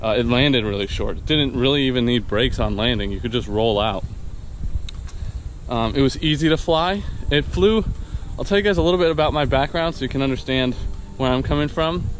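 A man's voice: mid-range voice, a little fast, slight background noise. A young man recording a casual review, talking enthusiastically to a handheld camera outdoors, rambling with fillers and restarts. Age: young adult. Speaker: vlogger and new private pilot. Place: outdoors on a wooded dirt path. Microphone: handheld action camera at arm's length.

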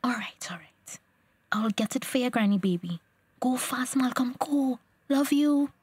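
Hushed, pleading young Tobagonian woman. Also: fairly high pitch, lively intonation, a little slow, husky, no echo. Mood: worried, devoted. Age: young adult. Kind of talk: radio drama acting.

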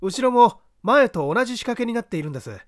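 A man's voice: very high voice, very animated, fast talker, dry recording, clean studio sound, voice pushed up. A man voicing a coolly confident male detective in an audiobook, politely commanding and explaining. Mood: assured. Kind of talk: audiobook character dialogue.

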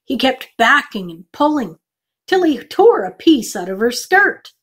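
A woman's voice: high-pitched, very animated, measured pace, husky, exaggerated voice. A woman voicing an earnest country uncle telling a tale in a storybook, with emphatic delivery.

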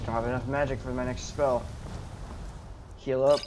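A man's voice: mid-range voice, level tone, quick pace, heavy background noise. A man giving in-character commentary as he plays a fantasy video game. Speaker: gamer roleplaying his character.

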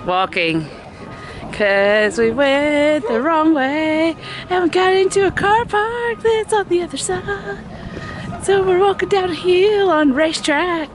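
A woman's voice: very high voice, slow, background noise. A woman in her thirties chatting casually to camera as a family vlogger, teasing and narrating as she goes, into a phone held at arm's length. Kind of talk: casual vlog chat to camera.

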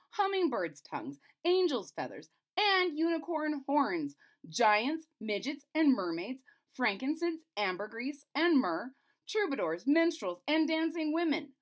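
A woman's voice: high-pitched, voice pushed up. A woman voicing a pompous, self-important court chamberlain in a storybook, reading off a long list. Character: pompous fat old male court chamberlain.

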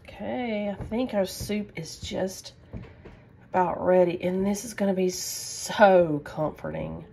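A woman's voice: medium pitch, slow, vocal fry, a little mellow, slight background noise. Chatty woman cooking at home. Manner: chatty, enthusiastic, says y'all often. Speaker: cooking vlogger. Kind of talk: casual cook-along chat. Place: home kitchen, indoors.

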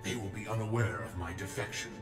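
A man's voice: on the low side, steady tone, quick pace, natural voice. Man as cyborg ninja, resolute, formal, terse, distant echo, music underneath, game acting. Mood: grave. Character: stern cyborg ninja warrior, grave and resolute.

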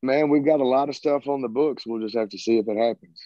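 A man's voice: mid-range voice, quick pace, dry recording. Laid-back Southern man on a video call. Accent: Southern American. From American South. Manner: laid-back, drawls "man" often, rambling. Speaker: rock band singer and guitarist. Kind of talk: casual interview answers. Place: home, by a sided wall. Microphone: video call, earbuds. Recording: muffled dry video call audio.

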